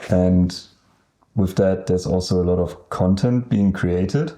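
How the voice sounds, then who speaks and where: A young man from Germany with a German accent, co-hosting a casual podcast and talking hesitantly with many pauses. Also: sits low, dark tone. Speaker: podcast co-host. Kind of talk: casual chat.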